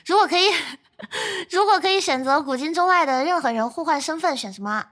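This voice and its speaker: A woman's voice: very high-pitched, expressive, normal speed, a bit bright. A woman co-hosting a podcast in playful, casual conversation. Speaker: podcast co-host.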